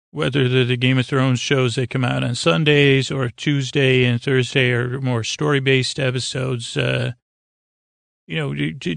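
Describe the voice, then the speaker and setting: Guy doing a sleep podcast, creaky soothing voice, rambles and stumbles around, very dry close sound. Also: mid-range voice, no echo. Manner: meandering, hesitant, over-explaining; soothing, lulling. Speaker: podcast host.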